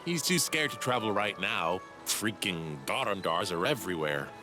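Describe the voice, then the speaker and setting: A man's voice: sits low, lively intonation, normal speed. A man voicing an eager, cocky young alien inventor who explains things condescendingly in a video game. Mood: chatty. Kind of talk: video game cutscene dialogue. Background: background music.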